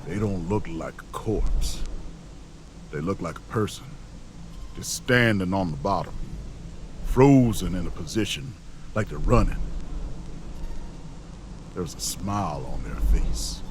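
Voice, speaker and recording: A man playing a grave, watchful lone traveler, narrating an audio drama in deliberate, ominous, terse phrases. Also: deep voice, lively intonation, noisy background. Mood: tense, hushed awe.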